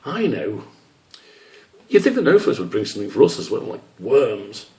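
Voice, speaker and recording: A man's voice: fairly low pitch, huge pitch swings, normal speed, warm tone. Storybook young badger read by a man: dry, huffy grumbler, recorded from a distance. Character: young male badger, touchy, grumbling, matter-of-fact. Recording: roomy echo, distant microphone.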